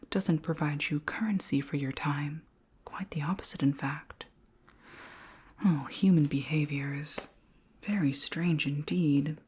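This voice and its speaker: A woman playing a curious, flirty alien queen in an ASMR roleplay, speaking in a soft, breathy whisper with formal, gently clinical politeness. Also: low voice, exaggerated voice. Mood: intrigued, gently amused. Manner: slow, gentle, formal questioning.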